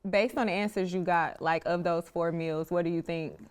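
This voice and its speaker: A woman's voice: fairly low pitch, steady tone, normal speed, smooth. A woman co-hosting a podcast dating game, explaining rules and questioning the guest in an upbeat, giggly way. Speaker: podcast co-host.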